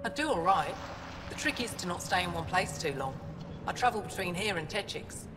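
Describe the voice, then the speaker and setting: An actor playing an easygoing wandering alien trader who talks in a relaxed, breezy way. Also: mid-range voice, fairly quick, background noise, natural voice. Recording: echoey game audio with ambience.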